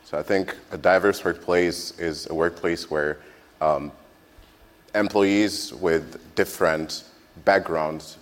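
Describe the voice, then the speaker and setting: Deep-voiced man. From France. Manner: measured, with "um" pauses. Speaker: panelist, manager. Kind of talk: panel discussion answers. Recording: hall reverb.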